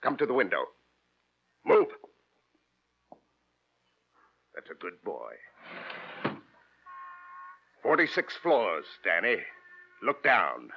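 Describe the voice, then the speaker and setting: An actor playing a smug, murderous lawyer with a suave, pompous, sardonic manner in an old radio crime drama. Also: male voice, on the low side, huge pitch swings, unhurried, husky, full character voice. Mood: menacing. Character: smug, murderous high-class lawyer villain, mocking and condescending. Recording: dull old-time radio recording.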